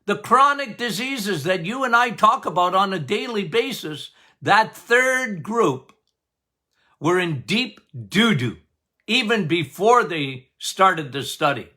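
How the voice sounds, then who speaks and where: Loud, emphatic older man doctor. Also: high voice, lively intonation, takes it slowly. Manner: loud, emphatic, passionate, laughs often. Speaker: podcast host, doctor. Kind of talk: casual health podcast talk.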